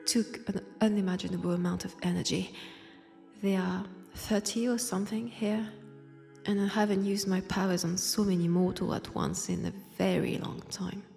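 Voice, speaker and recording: A woman with a French accent voicing an ancient, teasing vampire who poses as a nun, in a hushed, intimate roleplay drama. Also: medium pitch, steady tone, vocal fry, faint music behind, natural voice. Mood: confiding, seductive. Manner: slow, teasing, hushed, dropping into whispers. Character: ancient, powerful female vampire posing as nun, teasing, sardonic. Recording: long church-like reverb.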